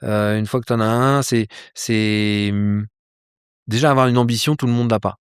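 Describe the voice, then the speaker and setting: Lowish, energetic French explorer guest. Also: male voice, normal speed, clear, resonant tone, no echo, studio quality. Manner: animated, swears casually, many fillers and restarts. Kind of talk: casual interview chat. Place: outdoors with a view of a landscape.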